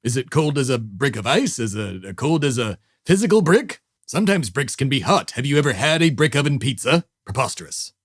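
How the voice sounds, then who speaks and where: A man's voice: medium pitch, sing-song, brisk, hardly any pauses, slightly rough, no echo, studio quality. A man from Massachusetts delivering a comic scripted monologue as segment host, with a mock-indignant, grumbling manner. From Massachusetts, United States.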